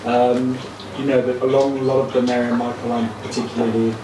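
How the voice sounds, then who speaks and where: A man's voice: medium pitch, little pitch movement, fast. A man in an audience asking a casual, meandering question in a tentative way, with lots of filler "like". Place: audience area at a live talk. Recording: echoey, distant mic, loud room noise.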